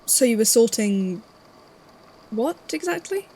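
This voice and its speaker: Female archivist interviewer actor role, audio drama: businesslike, curious, measured, with a breathy, soft dark tone. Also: on the high side, sing-song, exaggerated voice, low-quality recording. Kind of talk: fan fiction audio drama, interview questions.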